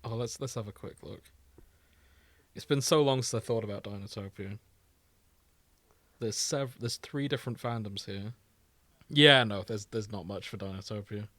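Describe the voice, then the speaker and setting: A man's voice: lowish voice, moderate pace, pauses often, pushed and bright, dry recording. Easygoing man in casual chat. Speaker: podcast co-host. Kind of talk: casual unscripted podcast chat.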